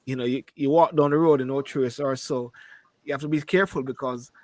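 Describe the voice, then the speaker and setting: A man's voice: medium pitch, fairly even intonation, quick pace, no echo. Man hosting a dry-sounding panel. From Jamaica. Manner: conversational, laughs easily, asks the panel questions. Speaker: host. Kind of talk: panel discussion on a call-in show.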